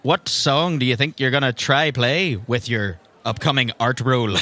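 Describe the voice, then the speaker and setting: Bright-voiced male game master. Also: mid-range voice, moderate pace. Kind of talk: narrating a tabletop game.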